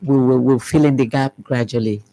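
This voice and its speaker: A speaker, answering interview questions as an education official, measured and full of ums and restarts. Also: medium pitch, fairly even intonation, mellow, low-quality recording.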